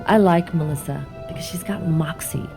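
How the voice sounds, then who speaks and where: A woman with a fairly low voice gives a voice actor's introduction. Music plays clearly behind her. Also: moderate pace. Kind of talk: scripted cast introduction.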